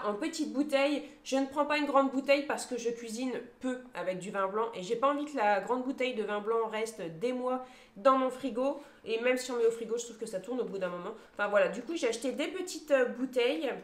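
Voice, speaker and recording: A woman's voice: a little high, echoey room, a little hiss or hum. A woman in her thirties chatting casually to a phone camera at home, listing her grocery haul item by item with many hesitation fillers. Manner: chatty, lots of hesitation fillers, lists items one by one.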